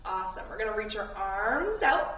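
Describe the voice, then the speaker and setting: A woman's voice: mid-range voice, very animated, normal speed, a bit bright. A woman from Canada guiding children through yoga poses step by step, with simple, encouraging instructions. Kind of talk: guided kids' yoga class following a story. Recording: echoey room, distant mic, background noise.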